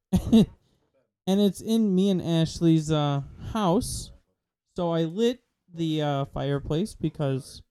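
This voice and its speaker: A man's voice: a little high, dark tone, clean tone. A man from Wisconsin with a local accent, chatting casually with friends on a podcast in his home and acting out the dialogue in his stories. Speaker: podcast co-host. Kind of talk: casual chat among friends. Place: home living room by a fireplace.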